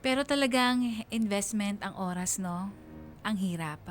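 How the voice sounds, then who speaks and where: A woman's voice: mid-range voice, a little slow, over music, close to an everyday voice. An actress playing a wistful young woman confiding her love story in first-person radio drama narration. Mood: wistful, reflective.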